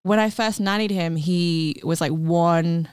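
A woman's voice: on the low side, a little slow, a little mellow, clean tone, no room sound. Chatty young woman, dry sound. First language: Cantonese. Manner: chatty, many fillers and restarts. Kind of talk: casual podcast chat.

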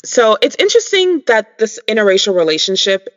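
Man, casual podcast co-host, chatty, lots of ums, slightly higher voice, dull lo-fi sound. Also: big pitch swings, fairly quick. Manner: chatty, many ums, addresses listeners. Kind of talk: casual chat.

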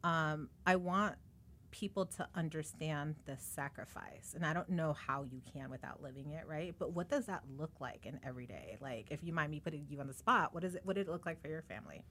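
This is a woman with a notably low voice hosting a casual interview podcast. She speaks thoughtfully and searches for her words as she frames each question, with a faint hiss behind her. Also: brisk, a bit bright. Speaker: podcast host.

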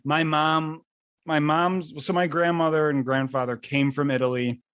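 A man's voice: a little high, flat delivery, moderate pace, a bit bright, clear, resonant tone, no echo. Hesitant male podcast host, dry sound. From western New York. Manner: hesitant, restarts questions with many fillers. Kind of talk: casual interview chat.

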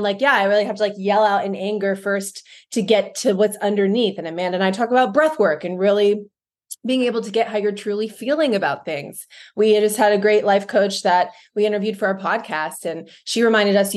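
A woman co-hosting a podcast, talking in an animated, emphatic way and often laughing. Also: medium pitch. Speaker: podcast co-host. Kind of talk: casual podcast chat.

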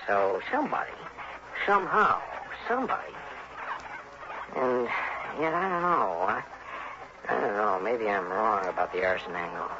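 Harried insurance agent on noisy old radio. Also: male voice, on the low side, sing-song. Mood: worried. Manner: hurried, folksy, emphatic. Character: harried, chummy, earnest male insurance agent. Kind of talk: early 1960s radio drama acting. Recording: noisy, dull vintage radio recording.

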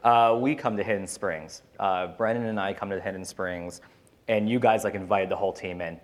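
Low-voiced man talking candidly. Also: steady tone, normal speed. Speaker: podcast host and panelist. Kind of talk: casual personal storytelling in a panel discussion.

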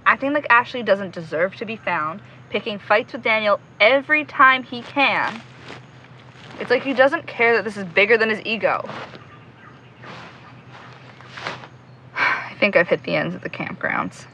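A woman's voice: medium pitch, lively intonation, measured pace, long pauses, bright, forceful tone, dull audio, slight background noise. A woman playing an earnest, stubborn young investigator in a naturalistic audio drama, discouraged but driven. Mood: frustrated, dejected.

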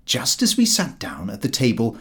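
A man reading a comic diary aloud as an audiobook narrator, in expressive scripted narration. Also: mid-range voice, normal speed.

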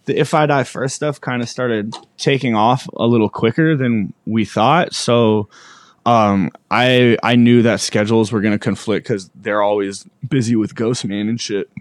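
A young man from Alberta, a musician guest, talking in a relaxed, rambling way full of fillers. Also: mid-range voice, normal speed, dry recording, clean studio sound. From Alberta, Canada. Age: young adult. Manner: laid-back, rambling, with many fillers. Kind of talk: casual interview chat.

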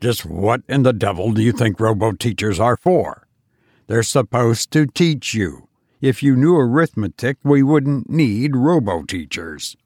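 A man's voice: medium pitch, animated, unhurried, darkish tone, no room sound, studio quality. A man voicing an irritable, sleepy father who grumbles at his son to leave him alone. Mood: grumpy. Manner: gruff, grumbling, impatient.

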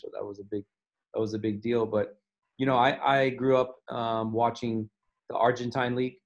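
A man's voice: on the low side, darkish tone, dull audio. A man from Miami, a soccer coach, answering interview questions thoughtfully with frequent restarts and fillers. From Miami, Florida.

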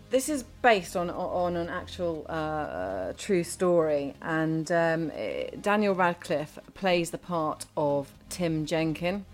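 A woman co-hosting a film and TV review show, her voice sitting a little low. She chats casually and hesitantly, full of fillers and restarts, over faint background music.

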